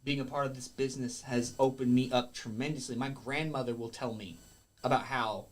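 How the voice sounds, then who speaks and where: A man's voice: mid-range voice, steady tone, brisk. A man, a wrestler guesting on a podcast, talking in a rambling, earnest way and correcting himself as he goes. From Kentucky. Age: 20s. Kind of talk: casual podcast interview.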